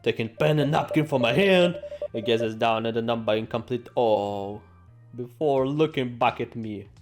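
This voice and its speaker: A man's voice: medium pitch, normal speed. A man hosting a let's play, reading game text aloud in a playful, theatrical way with joking asides. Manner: playful, theatrical, exclaims and jokes to himself. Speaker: gaming video host. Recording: music behind the voice. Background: game music.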